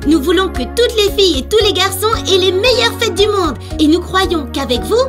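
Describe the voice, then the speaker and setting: A woman's voice: extremely high pitch, animated, normal speed. A woman voicing a friendly, earnest cartoon girl who speaks politely in a cartoon dub. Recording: children's music playing behind.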